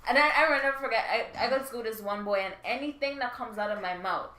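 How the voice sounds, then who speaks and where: Young woman, Caribbean accent, slightly high, bright voice, earnest, echoey room. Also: quick pace. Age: young adult. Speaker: podcast co-host. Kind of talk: casual chat.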